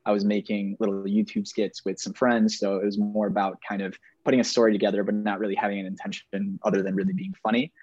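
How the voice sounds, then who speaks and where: A man's voice: fairly low pitch, little pitch movement, fast, few pauses, a little mellow, breathy edge, dry recording. A young man from Venezuela, a filmmaker, answering interview questions in an easygoing, self-mocking way. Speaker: guest filmmaker. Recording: dry, dull lo-fi sound.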